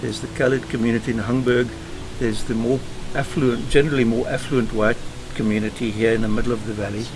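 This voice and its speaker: A South African man giving measured, emphatic answers as a podcast guest. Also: medium pitch, flat delivery, background noise. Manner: measured, emphatic, speaks in short firm statements. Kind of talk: earnest interview answers. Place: home.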